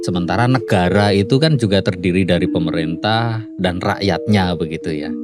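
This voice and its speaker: A low-pitched man hosts a relaxed radio talk show from a studio, asking long, winding questions. Music plays clearly behind his voice throughout. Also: normal speed. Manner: asks long, winding questions with easy laughter. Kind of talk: casual interview chat.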